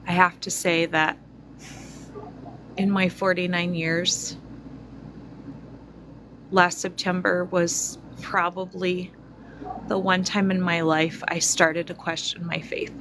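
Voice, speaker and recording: A woman in her forties speaking slowly and reflectively to her viewers, pausing often with fillers. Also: on the low side, little pitch movement, background noise. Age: 40s. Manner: slow, reflective, many fillers. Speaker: spiritual vlogger. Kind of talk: personal testimony to viewers.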